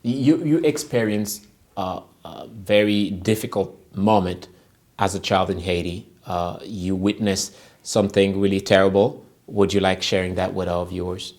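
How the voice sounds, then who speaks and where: A man's voice: deep voice, fairly even intonation, a little slow, a little mellow. A man hosting a broadcast interview, introducing and questioning a guest. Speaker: TV interview host.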